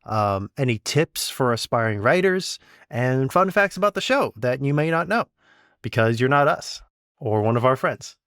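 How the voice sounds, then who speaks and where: A man's voice: mid-range voice, lively intonation, moderate pace, fairly bright tone, no echo. Man, mid-range voice, interview host, casual chat, dry recording.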